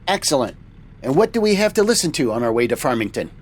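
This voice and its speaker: A man's voice: mid-range voice, sing-song, fast. A man hosting a show in character as a trucker captain, with a cheerful, folksy manner.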